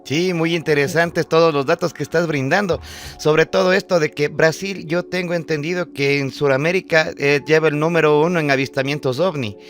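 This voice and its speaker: A man's voice: on the high side, fairly even intonation, fairly quick, faint music behind. Man hosting a paranormal interview. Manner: attentive, brief affirming interjections. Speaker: channel host. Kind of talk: conversational interview.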